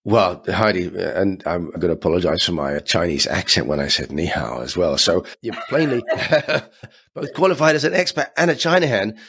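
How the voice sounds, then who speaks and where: A man with a very deep, husky voice hosts an interview podcast. He talks in a friendly, conversational way. He often drops in casual fillers as he asks his questions. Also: big pitch swings, moderate pace, fairly bright tone. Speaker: podcast host.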